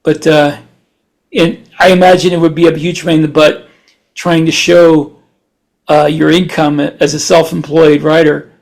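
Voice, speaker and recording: A man's voice: fairly high pitch, flat delivery, a little slow, creaky, darkish tone. A man chatting informally as a co-host on a podcast. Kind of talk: casual podcast chat.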